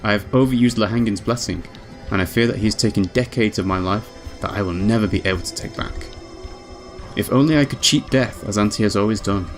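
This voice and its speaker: A man's voice: fairly low pitch, fairly quick, close to an everyday voice. Male reader as young witch, proud, solemn, ornate dramatic narration, visual novel, music behind. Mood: weary, grave. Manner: grave, ornate storytelling. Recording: music underneath.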